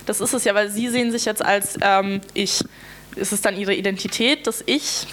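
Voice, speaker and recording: A woman's voice: medium pitch, steady tone, moderate pace, fairly bright tone. A young woman born in Russia, speaking as a guest on a discussion panel, hesitant and searching for her words with many fillers.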